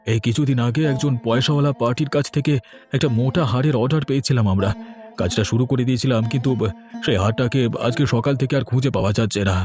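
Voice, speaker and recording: A man's voice: medium pitch, fast, darkish tone, natural voice. A man voicing an honest, humble shop worker in a cartoon, earnest and aggrieved as if wrongly accused. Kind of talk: animated cartoon dialogue. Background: music.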